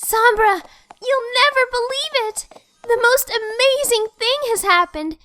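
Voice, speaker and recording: Very high, bright filly. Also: female voice, a little slow, exaggerated voice. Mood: excited, cheerful. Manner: earnest, expressive cartoon delivery. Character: sweet, excitable young girl unicorn. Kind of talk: cartoon-style comic dub acting.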